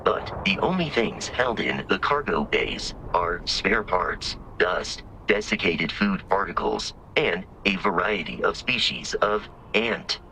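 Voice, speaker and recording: A man's voice: sits low, expressive, takes it slowly, few pauses, pushed and bright, slight background noise, exaggerated voice, rough recording. A male actor playing a polite, literal-minded robot companion in a video game, speaking in a formal, precise, matter-of-fact way. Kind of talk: scripted video game dialogue.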